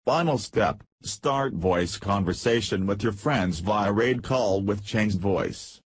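Guy reading a software how-to script step by step, lowish husky voice. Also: takes it slowly, no room sound. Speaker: tutorial narrator. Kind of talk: scripted software tutorial narration.

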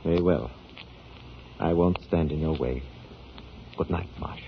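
An actor in a 1940s radio drama playing a jealous, controlled husband with a formal, measured manner. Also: male voice, extremely low pitch. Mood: bitter restraint. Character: controlled, jealous, brooding husband of an opera star. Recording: tinny, noisy 1940s radio broadcast.

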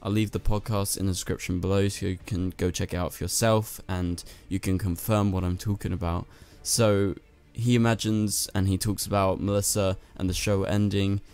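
A young man with a hoarse, worn-out voice, hosting a chatty commentary video and talking straight to his viewers. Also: low-pitched, little pitch movement, normal speed, hardly any pauses. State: lost his voice. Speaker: channel host. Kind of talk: casual commentary to camera. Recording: soft music underneath.

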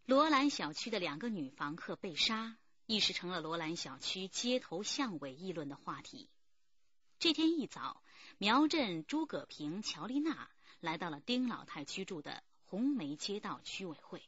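Female drama narrator: husky, expressive range, dry and slightly dull sound. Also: on the low side, normal speed, dry recording. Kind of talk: scripted radio drama narration.

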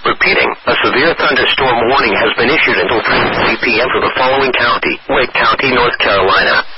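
A synthetic male announcer delivers formal emergency weather warnings over the radio. His mid-pitched voice is measured and impersonal. The sound is dull and lo-fi, buried in loud noise.

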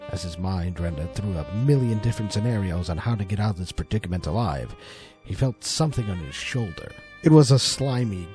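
Male storyteller for scripted drama: low register, lively intonation, faint music bed. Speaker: narrator.

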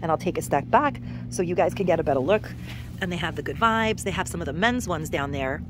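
A woman's voice: lowish voice, animated, fairly quick, noisy background. A woman hosting a shopping vlog, chatting enthusiastically about products as she walks store aisles with a handheld phone. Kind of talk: casual shop-with-me chat to camera. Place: discount store aisles, indoors.

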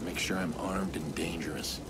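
An actor playing a terse, driven vigilante hacker in a video game, speaking in a clipped, guarded way. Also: male voice, deep voice, steady tone, normal speed, heavy background noise, close to an everyday voice. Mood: businesslike. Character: terse, driven male vigilante hacker protecting his family. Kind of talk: video game dialogue and inner monologue.

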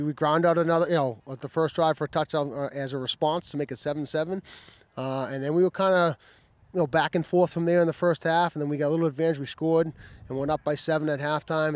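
A man in his forties with a moderately high-pitched voice talks as a football coach after a game. He is casual and matter-of-fact, feeling his way through answers. He speaks outdoors into a handheld microphone. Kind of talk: casual postgame interview. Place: outdoor football stadium field at night. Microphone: handheld microphone held at chest.

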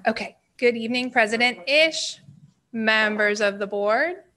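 Woman director presenting to the board, bright forceful voice, a touch high. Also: a little slow. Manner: projecting, strong voice. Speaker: special education director.

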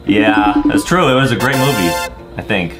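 A man's voice: fairly low pitch, moderate pace. A man, giving theatrical, exclamatory comedic reactions as a co-host. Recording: music under the voice. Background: music.

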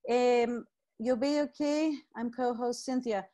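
A woman's voice: on the high side, fairly even intonation, very slow, dark tone. A woman leading a live online class over a video call, greeting participants by name and giving friendly instructions.

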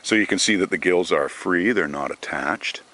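A man foraging outdoors in a shady forest, explaining his finds to a handheld camera in a relaxed, rambling way with many ums and restarts. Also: low voice, normal speed. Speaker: foraging vlogger. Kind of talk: casual show-and-tell narration.

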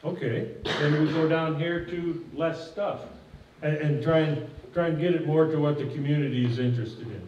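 A man's voice: a little high, normal speed, a little mellow, a little hiss or hum. An older man speaking as a project manager at a public meeting, folksy and plainspoken, often restarting his phrases. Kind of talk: informal public presentation with audience questions. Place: stage of a hall with curtains. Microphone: podium mic, at a distance. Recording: echoey hall, mic at a distance, dull sound.

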